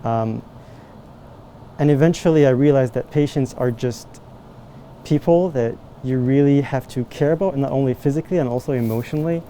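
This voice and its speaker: Young man from Morocco, mellow tone, halting answers with fillers, faint noise behind. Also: mid-range voice. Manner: hesitant, many fillers and restarts. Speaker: medical student researcher. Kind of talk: interview answers, casual.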